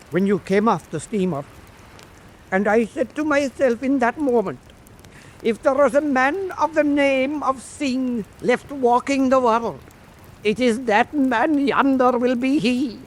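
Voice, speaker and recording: An actor playing a lonely old islander back from the sea, wistfully reminiscing in a radio drama. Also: male voice, high-pitched, a little hiss or hum. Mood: reminiscent. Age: older. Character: old returned island seaman, lonely and disillusioned.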